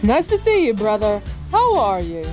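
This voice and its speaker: A man voicing a haughty cartoon train engine with exaggerated, drawn-out delivery. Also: sits high, huge pitch swings, music in the background, pitched above the performer's own voice. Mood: smug greeting.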